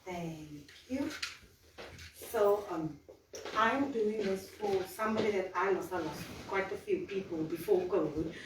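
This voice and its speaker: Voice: mid-range voice, unhurried. A woman, a citizen journalist speaking to a community gathering with a hesitant manner and many fillers. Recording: echoey room, distant mic, background noise.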